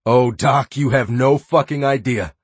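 A man's voice: fairly low pitch, lively intonation, moderate pace, slightly rough, no room sound. A man voicing an obsessive, violent young man who growls and spits threats. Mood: menacing.

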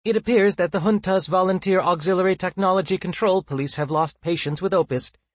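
A man's voice: high-pitched, a little fast, no echo, pitched above the performer's own voice. A man voicing a fussy, chirpy, self-satisfied robot drone with prim, formal manners, as in an audiobook. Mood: matter-of-fact dismay. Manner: prim, formal, mock-polite phrasing.

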